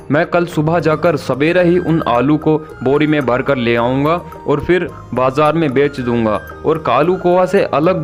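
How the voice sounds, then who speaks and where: The kindly farmer bird of a children's cartoon played by a man, earnestly thanking his little sister over soft background music. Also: on the high side, natural voice. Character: good-natured, grateful male bird, big brother farmer. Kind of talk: animated children's story dialogue.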